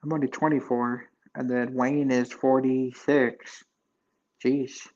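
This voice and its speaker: A man's voice: mid-range voice, level tone, slow pace, a little mellow, lo-fi sound. A man in his twenties chatting casually with his live viewers, rambling loosely with lots of fillers and restarts. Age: 20s. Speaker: livestream host chatting with viewers.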